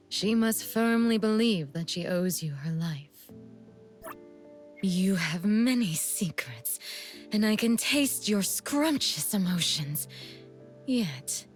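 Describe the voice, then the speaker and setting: A woman playing a sly, menacing young female assassin in a video game, speaking in a teasing, deliberate manner. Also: on the low side, lively intonation, faint music behind. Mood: sly, amused. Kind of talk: scripted game character monologue.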